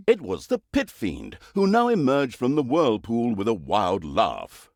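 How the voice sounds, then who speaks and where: An actor playing a sardonic, theatrical storyteller, narrating a comic fantasy video game in a wry, mock-grand way. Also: male voice, mid-range voice, huge pitch swings, measured pace, darkish tone, no echo, clean studio sound, heavily acted. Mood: dramatic, amused.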